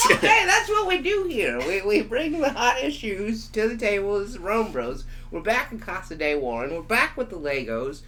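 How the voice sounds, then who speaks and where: A man's voice: sits high, sing-song, moderate pace, pushed and bright, a little hiss or hum. A young man co-hosting a casual podcast chat, rambling and laughing often. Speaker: podcast co-host.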